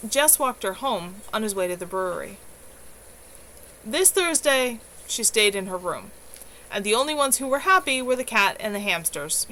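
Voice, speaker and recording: A woman's voice: mid-range voice, a bit bright, poor audio. A woman narrating a novel aloud as its author, with an earnest, amateur storyteller delivery. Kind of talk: expressive audiobook-style narration. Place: at home, livestream. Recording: clear background noise, home livestream.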